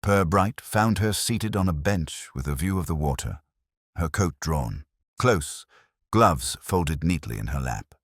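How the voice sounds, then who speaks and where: A man reading scripted story narration in a measured, literary manner. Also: sits low, expressive, dry recording, clean studio sound. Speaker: audiobook narrator.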